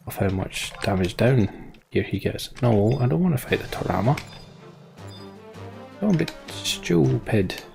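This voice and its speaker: Male game streamer, creaky low register, casual rambling commentary, music underneath. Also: darkish tone. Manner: rambling, thinking aloud. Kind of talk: casual live gameplay commentary.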